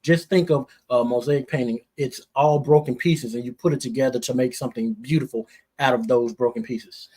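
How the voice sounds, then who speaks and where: A man's voice: mid-range voice, fairly even intonation, fairly quick, mellow, breathy edge. Earnest motivational man from Indiana. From Gary, Indiana, United States. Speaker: interview guest, brand-building entrepreneur. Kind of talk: interview answers with motivational pitch.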